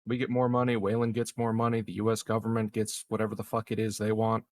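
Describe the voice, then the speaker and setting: A man improvising in-character conversation as a roleplaying player, phrasing things in a measured, halting way. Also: on the low side, monotone, darkish tone.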